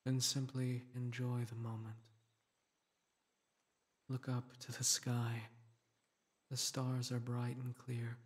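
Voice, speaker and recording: Guy doing a solemn dream king for a sleep meditation, soft soothing voice, super slow, almost flat, gently protective. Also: medium pitch, lightly acted. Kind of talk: guided meditation, sleep-aid roleplay.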